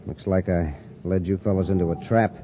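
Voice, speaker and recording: An actor playing an injured but stoic space pilot in an old-time radio serial, plain-spoken and wry. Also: male voice, low voice, steady tone, a little fast, lightly acted. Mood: resigned. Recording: muffled, noisy old radio recording.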